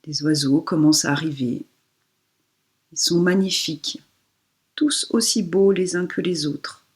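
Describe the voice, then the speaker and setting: A woman yoga instructor guiding a relaxation meditation in a soft, breathy voice, giving soothing, unhurried instructions with pauses. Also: low-pitched.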